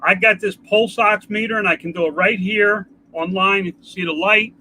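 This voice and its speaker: An older man with a congested nasal voice, talking in a rambling, halting way in a casual home video update. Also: high voice, fairly even intonation, bright, forceful tone, slight background noise, rough recording. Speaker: vlogger. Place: outdoors.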